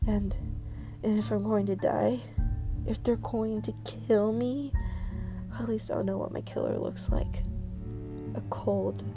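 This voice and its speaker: A woman's voice: mid-range voice, very animated, slow, vocal fry, long pauses, over music, exaggerated voice. A woman voicing a frightened, superstitious real estate agent in a horror visual novel, with dramatic, anxious delivery. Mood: horrified.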